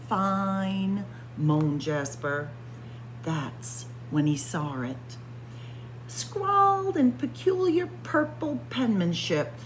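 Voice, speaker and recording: Librarian, woman, slow and theatrical read-aloud, wide-ranging lowish voice, faint hum behind. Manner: dramatic, expressive storytelling with spooky whispers. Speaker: children's librarian. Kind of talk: picture book read-aloud. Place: library with bookshelves.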